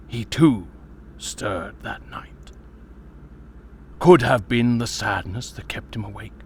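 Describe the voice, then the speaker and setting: Comic-dub narrator: male actor as grave elder storyteller, unhurried and gravelly, hushed dramatic pauses. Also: on the low side, slight background noise. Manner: slow, grave, pausing, hushed at phrase ends. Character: solemn elder storyteller of an otherworldly people.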